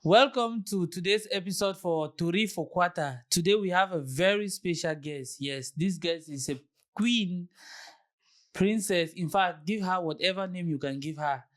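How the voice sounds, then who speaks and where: A chatty interview host with an ordinary middle pitch. The speech is loose and repetitive, circling back over phrases. The host eagerly courts viewers' support for a new channel. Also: normal speed, soft background music. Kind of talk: casual interview hosting.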